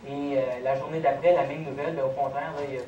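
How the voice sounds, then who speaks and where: A man with a Québécois accent, answering audience questions in a measured, explanatory way as a guest speaker at a lecture. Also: medium pitch, little pitch movement, dull audio, crowd noise. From Quebec. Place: college lecture room. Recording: echoey, noisy college room, distant mic.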